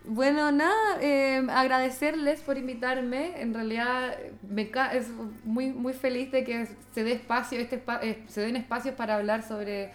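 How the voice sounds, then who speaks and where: Female guest psychologist, Chilean accent, higher register, encouraging and keen in conversation. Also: steady tone, normal speed, hardly any pauses, soft background music. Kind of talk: conversational interview, explaining feelings.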